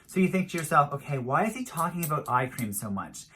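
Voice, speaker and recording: A man's voice: a little high, sing-song, fast talker, bright, forceful tone, husky. A middle-aged man giving an informal product review as a beauty vlogger, emphatic and repetitive, stretching out key words. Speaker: beauty vlogger and skincare advisor.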